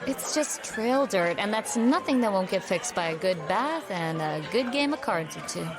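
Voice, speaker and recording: A woman's voice: medium pitch, lively intonation, moderate pace, close to an everyday voice. A woman playing a wry, guarded young Old West gambler, dry and stubborn, in an audio drama. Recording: music under the voice.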